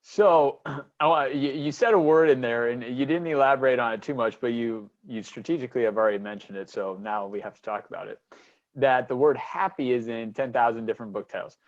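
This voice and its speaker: A man's voice: mid-range voice, fairly quick. A man hosting an interview, affirming his guest and asking rambling questions full of "you know.". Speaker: podcast host. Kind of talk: conversational interviewing. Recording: slightly dull lo-fi sound.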